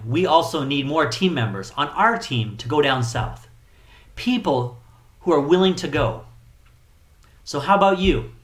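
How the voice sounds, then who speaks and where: A middle-aged man speaking as a missionary to a congregation, earnest and measured, appealing to listeners with rhetorical questions. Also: mid-range voice, fairly bright tone. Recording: echoey room.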